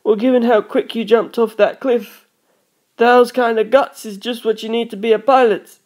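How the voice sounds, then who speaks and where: A woman's voice: a little high, steady tone, moderate pace, darkish tone, voice pushed up, close to an everyday voice. A woman voicing an easygoing teenage boy in an audiobook, casual, frank and reassuring. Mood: amused. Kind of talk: audiobook character voice.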